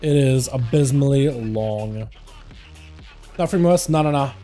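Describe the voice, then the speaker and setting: A man's voice: mid-range voice, unhurried, mellow, faint music behind. A young man with a slight Russian accent, a streamer chatting casually while gaming, rambling and filling his talk with "like". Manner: rambling, chatty, answers chat questions, frequent "like" and laughs.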